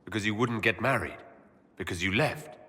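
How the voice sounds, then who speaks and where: A calm protective hero leader in a game, lowish husky voice, lots of hall echo. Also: male voice, normal speed. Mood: frank. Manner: plain-spoken, direct. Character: calm, steady, protective male fantasy leader. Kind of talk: dramatic video game acting. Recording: long hall reverb.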